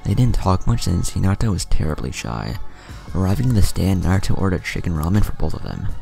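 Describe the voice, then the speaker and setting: Deep gravelly male story reader. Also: expressive, fairly quick, mellow, faint music behind. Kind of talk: fan fiction reading.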